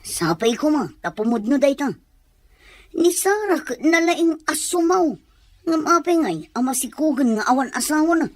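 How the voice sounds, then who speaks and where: A woman's voice: sits high, expressive, moderate pace, no room sound. A woman playing a nosy, blunt older village mother in an audio drama. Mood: disapproving. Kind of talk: acted Ilocano audio drama.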